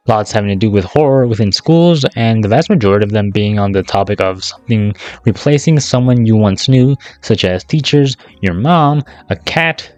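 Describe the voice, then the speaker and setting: A man's voice: fairly low pitch, darkish tone. A man narrating a casual scripted YouTube commentary in a sarcastic, slangy, profane way. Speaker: YouTube video essayist.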